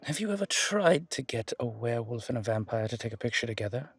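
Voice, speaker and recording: A man's voice: mid-range voice, very animated, fast, husky, no room sound. A man playing a charming, flirtatious male museum guide in an audio roleplay, chatting intimately with genteel, hesitant asides. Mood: chatty, informative. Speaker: character performer in an audio roleplay. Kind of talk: intimate ASMR roleplay monologue, conversational.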